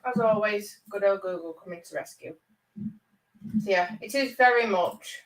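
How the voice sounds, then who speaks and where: A woman's voice: mid-range voice, moderate pace, distant mic. Woman co-host, bunged up with a cold, chatty, roomy echoey sound, set back from the mic. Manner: chatty, addresses viewers by name. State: congested from a cold. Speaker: livestream co-host. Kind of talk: casual chat, reading out viewer comments.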